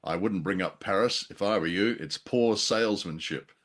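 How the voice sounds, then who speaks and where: A middle-aged man with a very deep voice hosts a casual film chat. He talks haltingly, with a jocular streak, as he works through his points. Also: fairly bright tone. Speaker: podcast host.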